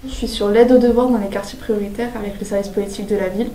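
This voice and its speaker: An eighteen-year-old woman, a civic service volunteer, talks with pauses and repeated words. Her voice stays level and soft. She is recorded from a distance in a roomy space. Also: medium pitch, steady tone, slight background noise. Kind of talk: personal testimonial.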